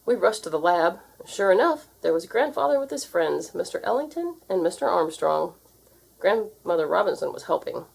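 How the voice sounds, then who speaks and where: A woman narrates a children's storybook with theatrical expression. Her pitch moves widely from phrase to phrase, and her voice has a creaky, slightly raspy edge. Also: sing-song, moderate pace, darkish tone, poor audio. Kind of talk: animated read-aloud narration.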